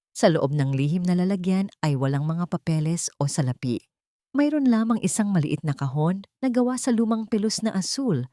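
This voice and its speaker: A woman reading a scripted romantic drama as narrator, with an emotive, deliberate storytelling delivery. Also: deep voice, darkish tone, no echo, studio quality.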